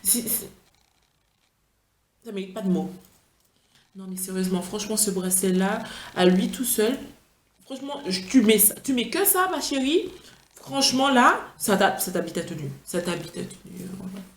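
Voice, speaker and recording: Chatty, tired woman in an echoey room. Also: mid-range voice. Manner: chatty, playful, gushing with enthusiasm. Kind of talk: casual product-review vlog.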